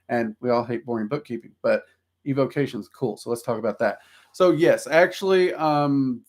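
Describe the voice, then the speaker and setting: A man's voice: medium pitch, gravelly. A man with a Southern US accent, hosting a casual livestream and answering viewer questions in a rambling, self-deprecating way with lots of ums. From Southern United States.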